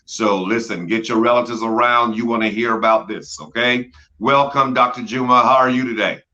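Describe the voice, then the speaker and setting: A male host running a show with authors, praising guests warmly and often stumbling over repeated words. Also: mid-range voice, steady tone, moderate pace. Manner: effusive, repeats words, fills with "uh". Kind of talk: enthusiastic author interview. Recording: dull lo-fi sound.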